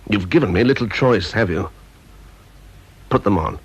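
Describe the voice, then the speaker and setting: A low, husky, stern British boss. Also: male voice, lively intonation, moderate pace. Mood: tense, guarded. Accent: British English. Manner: clipped, formal, terse. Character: stern, curt, exacting scientist boss under strain. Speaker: actor in a radio play. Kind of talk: British radio drama acting. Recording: dull, lo-fi old radio recording.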